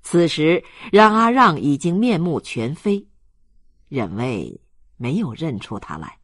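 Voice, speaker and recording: An audiobook narrator reading a novel in a measured, expressive storytelling style. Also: mid-range voice, no echo, clean studio sound. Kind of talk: scripted novel narration, slow dramatic storytelling.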